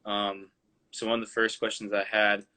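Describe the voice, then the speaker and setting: A man's voice: lowish voice, flat delivery, moderate pace, sharp tone. A male host asking interview questions over a video call, hesitant with many "um"s and "like"s. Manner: hesitant, many ums and likes.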